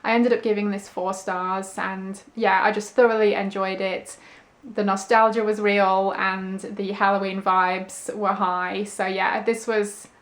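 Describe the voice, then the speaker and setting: A woman's voice: mid-range voice, level tone, measured pace. A woman chatting informally and a little ramblingly to camera in a book vlog, with an understated manner. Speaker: book vlogger. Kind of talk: casual chat to camera, reading diary and book review. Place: indoors at home.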